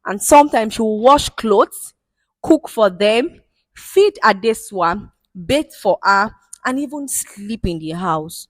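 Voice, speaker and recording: A woman's voice: mid-range voice, animated, slow, no echo. A woman with a Nigerian accent narrating a folktale in a plain retelling style, now and then repeating herself. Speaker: storyteller.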